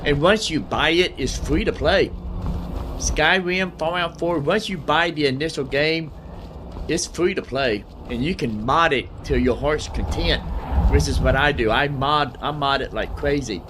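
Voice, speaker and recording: A man's voice: a little high, unhurried, noisy background. A man giving casual, informal let's play commentary as a gaming host, often repeating phrases to himself.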